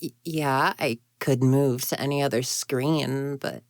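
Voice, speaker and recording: A woman's voice: rumbling low, steady tone, a little slow, no echo, studio quality. A woman voicing a grumpy, proud young female ghost in an intimate roleplay audio drama, huffy and easily flustered. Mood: reluctant, shyly hopeful. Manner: huffy, indignant, flustered stammers, conversational.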